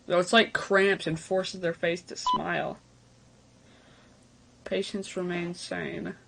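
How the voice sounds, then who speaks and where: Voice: mid-range voice, level tone, slow, creaky, noisy background. A reaction video host reading on-screen text aloud and breaking into casual, speculative asides. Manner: casual asides between read-out passages.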